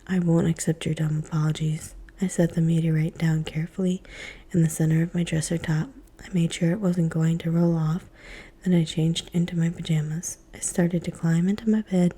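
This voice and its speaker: A woman reading a children's story aloud in a soft, unvoiced whisper. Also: fairly low pitch, flat delivery, normal speed, husky.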